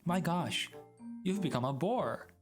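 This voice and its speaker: A man's voice: on the high side, expressive, measured pace, pitched above the performer's own voice. A man voicing a tired, blunt barmaiden in a storybook, exasperated and dramatic. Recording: music added behind the voice.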